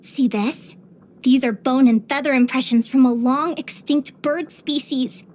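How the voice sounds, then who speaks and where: Game casting: young female naturalist-explorer, very high voice, cheerful, earnest, full of wonder. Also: fairly even intonation, unhurried, a little mellow. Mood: earnest, reflective. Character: cheerful, curious young woman adventurer and naturalist. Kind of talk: video game character voice lines.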